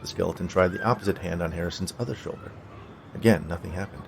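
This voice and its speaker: A man's voice: low-pitched, fast, creaky, background noise. A man reading a scripted short story aloud as its narrator.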